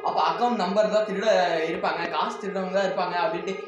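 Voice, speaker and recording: Fast-talking man, very echoey. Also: high-pitched, steady tone, over music. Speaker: vlog host. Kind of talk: casual chat to viewers. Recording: roomy, distant, dull, clearly noisy home recording.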